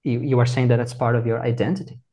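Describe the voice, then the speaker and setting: A man, answering in a measured, reflective, teacherly way over a video call. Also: medium pitch, fairly even intonation, dark tone. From Brazil. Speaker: meditation teacher, guest. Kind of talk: calm explanatory interview answers.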